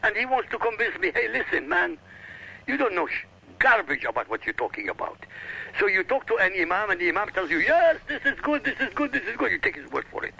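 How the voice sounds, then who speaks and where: Insistent Arabic-native man, gravelly. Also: high voice, big pitch swings, fairly quick, bright, forceful tone, low-quality recording. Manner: insistent, lecturing, emphatic repetition. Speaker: guest. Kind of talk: radio talk show argument.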